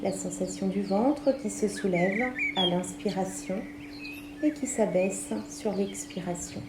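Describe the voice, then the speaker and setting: Woman guiding relaxation in an echoey room. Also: mid-range voice. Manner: soothing, measured instructions with pauses. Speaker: yoga nidra instructor. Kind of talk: guided relaxation meditation. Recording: echoey room, background noise, birdsong.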